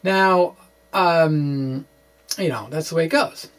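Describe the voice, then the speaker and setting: A middle-aged man giving an informal explanation to camera as a physics blogger, halting and often repeating words. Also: a little high, a bit bright. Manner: halting, hesitant, full of repeated words and tags like "okay?"; chuckles as he talks. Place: small indoor room with bookshelves.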